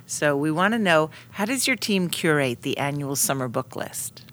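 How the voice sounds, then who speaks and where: Low-voiced woman hosting a podcast. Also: expressive, normal speed, fairly bright tone. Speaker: podcast host. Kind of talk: scripted introductions and interview.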